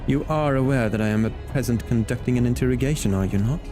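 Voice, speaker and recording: A man's voice: mid-range voice, quick pace, close to an everyday voice. A man voicing a haughty, scheming male inquisitor villain from a video game, delivered in a sneering, theatrical way, with game music behind. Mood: scornful.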